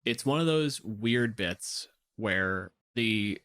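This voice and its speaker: A man's voice: on the low side, expressive, slow, fairly bright tone. A man on a discussion podcast, explaining and analysing in a casual, conversational style. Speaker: podcast panelist.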